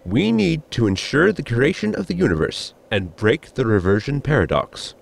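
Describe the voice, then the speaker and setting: A man playing a formal, pedantic science officer in a sci-fi comedy audio drama, with a gravelly voice and precise technical wording. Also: mid-range voice, sing-song, moderate pace, heavily acted. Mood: matter-of-fact.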